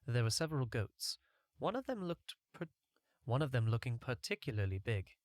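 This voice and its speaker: A man's voice: mid-range voice, very animated, moderate pace, no echo. Man narrating a story, close dry recording. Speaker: audiobook narrator. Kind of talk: first-person scripted story narration.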